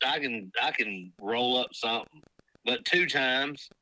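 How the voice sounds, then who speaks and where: A man's voice: medium pitch, a little slow, sharp tone, rough recording. A man, co-hosting a podcast and joking in a playfully dramatic way. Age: 20s. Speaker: podcast co-host. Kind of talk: casual chat.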